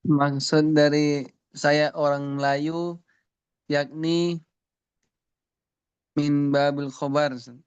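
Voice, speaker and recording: A man student answering his teacher in an online class, halting and polite with hesitations. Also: on the high side, level tone, a little mellow, smooth, dry recording, muffled recording.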